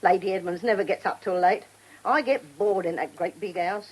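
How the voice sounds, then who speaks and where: A woman's voice: medium pitch, moderate pace, husky, natural voice, low-quality recording. An actress playing a kindly, bustling working-class English charwoman in a radio play, forthright and full of colloquial endearments. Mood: chatty, kindly. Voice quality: colloquial, ungrammatical speech peppered with endearments. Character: Mrs Bangs, chatty, kindly, lonely working-class charwoman.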